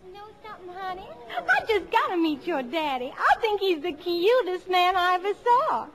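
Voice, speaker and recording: A woman's voice: very high voice, normal speed, a crowd in the background. A woman playing a coy, gushing teenage Southern belle with an American Southern accent in a 1950s radio comedy. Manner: coy, gushing, honeyed flattery. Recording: old radio broadcast, audience noise.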